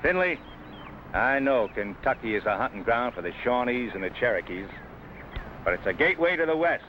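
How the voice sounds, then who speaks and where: An actor playing a confident, folksy frontier explorer and hunter in an old adventure drama. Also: male voice, mid-range voice, sing-song, fairly quick, natural voice. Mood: determined. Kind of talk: old-time western adventure drama acting. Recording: dull old recording with faint noise.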